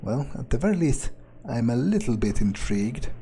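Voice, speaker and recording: A man's voice: medium pitch, sing-song, moderate pace, dark tone, slight background noise. A man doing improvised in-character commentary on a game, thinking aloud in a nonchalant, hesitant way. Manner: nonchalant, musing, hesitant phrasing. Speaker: gamer doing an in-character playthrough.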